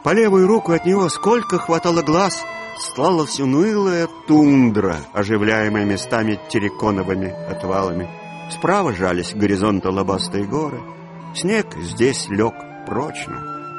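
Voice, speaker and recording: A male narrator tells a literary story for a radio drama. His voice is medium in pitch and his delivery is expressive. Music plays clearly underneath.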